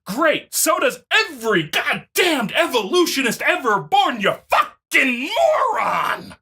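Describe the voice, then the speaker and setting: A woman's voice: high-pitched, very animated, a little slow, sharp tone, husky. A woman giving an exasperated, sarcastic, foul-mouthed off-the-cuff rebuttal as an online commentator.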